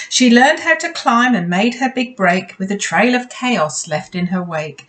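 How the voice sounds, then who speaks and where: A woman reading a children's story aloud as a teacher would, with expressive delivery and asides to young listeners. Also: mid-range voice. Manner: asks the listening children questions. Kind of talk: expressive picture-book read-aloud with asides.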